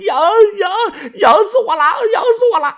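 A man's voice: very high-pitched, lively intonation, moderate pace, a bit bright, voice pushed up. A man voicing a young man in an audiobook who is panicking, crying and wailing shrilly. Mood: frantic crying.

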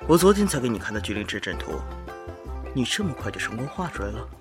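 A man's voice: medium pitch, very animated, fast, music in the background, lightly acted. A man voicing a proud, aloof senior talisman master who speaks in a cool, terse way in an amateur audio drama. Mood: skeptical.

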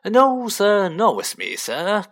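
A man's voice: sits high, wildly swooping pitch, a little slow, a bit bright, voice pushed up, full character voice. A man voicing an oily, servile and sly shopkeeper with an obsequious, deferential manner in a storybook reading. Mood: fawning. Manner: obsequious, eager to please, repeatedly deferential.

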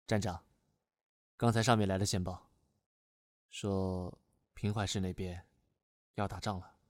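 A man playing a dutiful secretary to a spy chief, deferential and crisp, in a radio drama. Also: sits low, steady tone, measured pace, no echo, studio quality. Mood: respectful.

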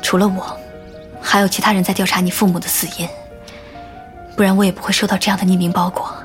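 An actress voices a well-born woman judge, assured and fiercely protective. Her mid-pitched delivery belongs to a modern audio drama, with some music underneath. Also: level tone, quick pace, lightly acted. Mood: earnest. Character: protective, self-assured lady judge, devoted to her beloved.

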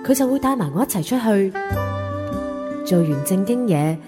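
A woman acting a young woman who fondly recalls her romance, in a measured, sentimental radio drama narration. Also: medium pitch, expressive, close to an everyday voice. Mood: fond, content. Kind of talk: radio drama narration, confiding first-person storytelling. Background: music.

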